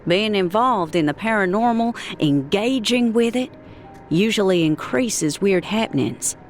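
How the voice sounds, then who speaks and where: A woman playing an ominous ghost hunter in an audiobook, giving warnings in a drawn-out manner. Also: mid-range voice, animated, soft background music, natural voice.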